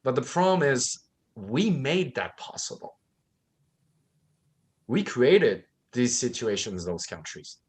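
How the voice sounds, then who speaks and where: A man's voice: mid-range voice, big pitch swings, takes it slowly, pauses often, husky. A man who grew up in Thailand, co-hosting a book discussion, talking with conviction and acting out the people he quotes. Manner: impassioned, acts out quoted speakers. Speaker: co-host.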